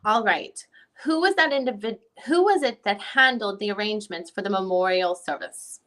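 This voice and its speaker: Woman as probing lawyer, bright forceful voice. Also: medium pitch, normal speed, natural voice. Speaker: YouTuber reading the questioning lawyer's part. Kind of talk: dramatic reading of legal questioning.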